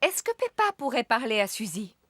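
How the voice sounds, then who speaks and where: A woman voicing a kind, cheerful mother pig in a French-dubbed cartoon, gentle and expressive. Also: fairly high pitch, moderate pace, slight background noise. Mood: calm, kind.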